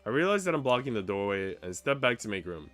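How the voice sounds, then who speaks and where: A man's voice: fairly low pitch, very animated, fast, fairly bright tone, faint music behind. A man streaming a visual novel, reading its narration aloud and chatting with viewers.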